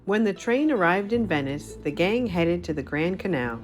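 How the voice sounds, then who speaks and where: Lively, lowish-voiced woman reading a story. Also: normal speed, soft background music. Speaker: children's story narrator. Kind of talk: animated storybook read-aloud.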